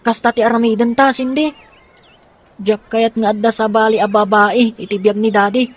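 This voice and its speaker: A woman's voice: a little high, fairly even intonation, normal speed, lightly acted. Determined young daughter for radio drama, played by a woman: earnest, insistent, slight noise behind. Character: scheming, determined young daughter troubled by parents.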